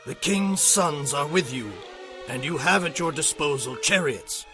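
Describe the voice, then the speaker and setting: Casting: a ruthless, commanding king, higher-pitched and sharp, emphatic, for scripture drama. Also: expressive, a little slow, faint music behind. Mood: stern, threatening. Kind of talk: dramatized audio Bible acting.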